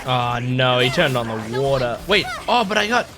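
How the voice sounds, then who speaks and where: A man commentates casually on a game as a streamer would. His voice sits at an average male pitch, with game music faintly audible underneath. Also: expressive, normal speed. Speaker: gaming reaction streamer.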